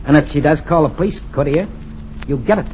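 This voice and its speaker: A man's voice: fairly high pitch, fast talker, noisy background, close to an everyday voice. A man playing a sneering radio-drama gangster. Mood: menacing. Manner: cold, threatening, sneering. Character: menacing male gangster holding a hostage. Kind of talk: 1940s radio acting.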